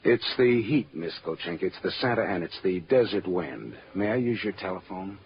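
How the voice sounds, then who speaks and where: An actor playing a wry, hardboiled American private eye in an old radio drama, cool and sardonic, on a muffled, noisy recording. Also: male voice, low-pitched, animated, fairly quick. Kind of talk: mid-century radio drama acting.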